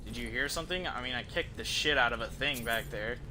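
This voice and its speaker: A man's voice: medium pitch, a little fast, background noise. A man giving casual, profane, slangy gameplay commentary as a game streamer. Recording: game music behind the voice. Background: video game music and sound effects.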